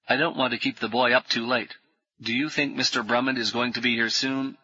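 A man's voice: medium pitch, steady tone, brisk, sharp tone, slightly rough, dull audio. A man reading a weary, confused man with a failing memory, hesitant and strained, in an audiobook. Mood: polite concern.

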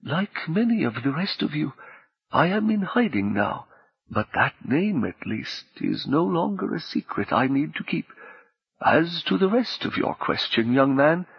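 Man voicing a soft, high, sad phantom. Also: sing-song, gravelly, slightly rough, lo-fi sound, exaggerated voice. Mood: calm, gentle. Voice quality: soft, high, almost feminine tones. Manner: slow, gentle, formal, measured.

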